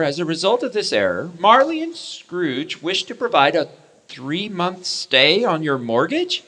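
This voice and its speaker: An actor playing a meek, dutiful office clerk in a radio play, speaking carefully and with formal deference. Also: male voice, on the high side, a bit bright, rough recording.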